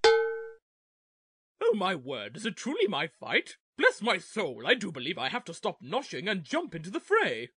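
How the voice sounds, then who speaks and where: A man voicing a prissy, plump young gentleman ninja, pompous and over-polite, in a cartoon parody. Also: sits high, huge pitch swings, a little slow, heavily acted. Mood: genteel indignation. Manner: pompous, over-polite old-fashioned phrasing.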